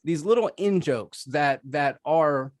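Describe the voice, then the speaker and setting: Higher-pitched man making a conversational case. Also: slow pace, no room sound, clean studio sound. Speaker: debater on a movie show. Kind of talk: conversational persuasive film argument.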